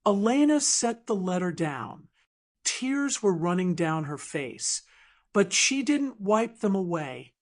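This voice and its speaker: A woman narrating an audiobook drama in a measured, emotive storytelling manner with deliberate pauses. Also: mid-range voice, very animated.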